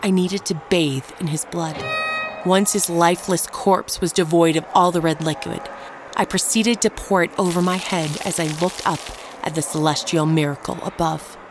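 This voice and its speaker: A woman playing a ruthless, unrepentant ageless murderess, narrating her tale in a measured, dramatic audio drama style. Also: on the low side, steady tone, close to an everyday voice. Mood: cold, gloating. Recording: narration over prominent background music. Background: music.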